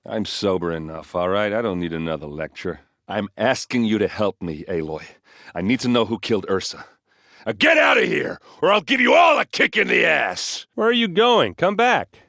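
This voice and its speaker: A man's voice: on the low side, very animated, normal speed, vocal fry, bright, forceful tone, no room sound, studio quality, heavily acted. A man acting a blunt, brash and loyal soldier with a wry, informal manner in a video game. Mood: angry, defiant, then urgent shouting. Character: gruff, brash soldier, loyal and grieving his sister.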